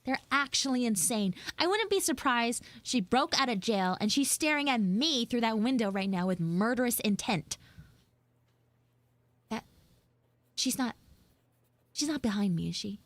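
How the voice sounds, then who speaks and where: A woman voicing a sassy, flustered high school tsundere frog girl in a casual, blunt, rambling live audio roleplay. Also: expressive, measured pace, long pauses, dry recording. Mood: wry, gossipy. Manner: blunt, snarky, swearing, rambling asides.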